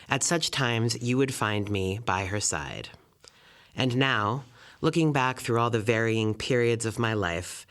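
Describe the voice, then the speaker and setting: Measured man reading an old memoir aloud. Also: mid-range voice, a bit bright. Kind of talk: scripted literary narration, read aloud.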